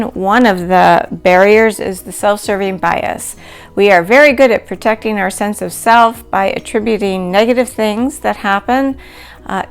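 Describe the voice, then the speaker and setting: A middle-aged woman teaching a recorded lecture, explaining concepts in a clear, measured way. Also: mid-range voice. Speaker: instructor.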